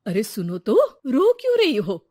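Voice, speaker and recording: Woman playing a blunt, higher-voiced tailor aunt. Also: huge pitch swings, measured pace, dark tone, exaggerated voice. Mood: kindly offering. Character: practical, blunt middle-aged tailoring-shop woman, a helpful aunt.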